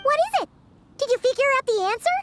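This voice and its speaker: A woman voicing a small, cheeky, impatient fairy companion in a video game, with a squeaky childlike voice and a whiny, exclamatory manner. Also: expressive, fast talker, a bit bright. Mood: curious, cheeky.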